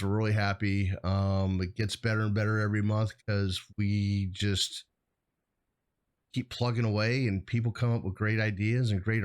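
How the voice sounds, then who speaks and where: A middle-aged man hosting a show in casual chat, rambling a little with a jokey, hesitant delivery. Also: lowish voice. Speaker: show host.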